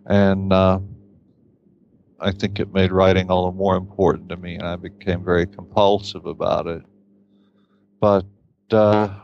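Guy, novelist in an interview, wry and halting, deep gravelly voice, slightly muffled lo-fi sound. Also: a little hiss or hum. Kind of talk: casual interview storytelling. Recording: slightly dull lo-fi recording.

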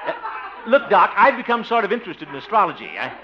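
An actor playing a genial, blustery, easily exasperated bachelor schemer in a 1950s radio sitcom, with a chuckling, flustered, exclamatory delivery. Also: male voice, high voice, sing-song, fast talker, crowd noise. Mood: chummy, coaxing. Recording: noisy, muffled old radio recording.

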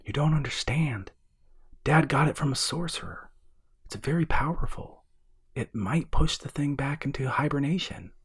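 A man voicing an anxious, determined young man in a horror audiobook, with a hushed, urgent edge. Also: low voice, moderate pace, dry recording, studio quality, heavily acted. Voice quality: hushed, hissing, husky.

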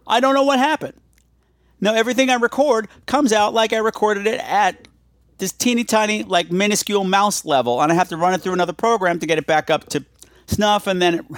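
A man's voice: fairly high pitch, no echo, studio quality. A man hosting a podcast solo in a casual, confessional way, rambling and self-deprecating, repeating himself for emphasis. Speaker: podcast host.

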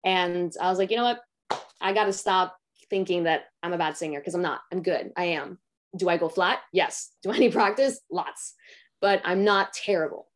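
Young woman, raspy low voice, actress guest, candid, reflective, chatty fillers. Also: moderate pace. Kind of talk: casual interview.

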